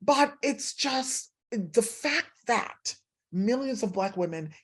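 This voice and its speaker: A woman's voice: on the high side, lively intonation, slow, slightly rough. A woman with an American accent, explaining her points conversationally as a guest scholar, earnest and repeating words for emphasis. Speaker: professor and author, podcast guest.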